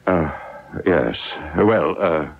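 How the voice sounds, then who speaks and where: Low-voiced, flustered President. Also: male voice, animated, extremely slow, vocal fry, full character voice. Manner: hesitant, hemming, worried formality. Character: flustered, hesitant President of the United States. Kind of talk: radio drama acting. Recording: tinny, slightly noisy old radio broadcast.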